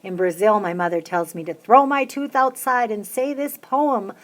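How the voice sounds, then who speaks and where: Woman reading a children's book aloud. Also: normal speed. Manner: lively, lifts her voice high for the children's chants. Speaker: reader of a children's picture book. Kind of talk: storybook read-aloud.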